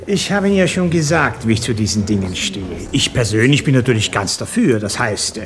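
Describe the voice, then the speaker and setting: An actor playing an evasive, self-justifying and suspicious doctor in a radio play, hedging officiously. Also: male voice, fairly low pitch, animated, fairly quick, a crowd in the background, lightly acted. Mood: evasive, defensive.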